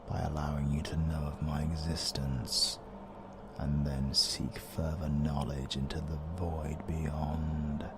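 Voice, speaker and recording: Audio drama casting: grave, courteous British otherworldly figure, creaky and unhurried, hushed formal delivery over noticeable background noise. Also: male voice, very deep voice, exaggerated voice. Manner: formal, measured, ominously polite. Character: mysterious, courteous, grave otherworldly being from beyond time.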